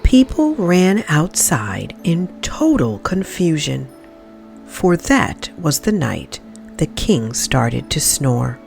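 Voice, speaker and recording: A woman reading a children's story aloud in expressive, dramatic narration with deliberate phrasing. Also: low voice, vocal fry.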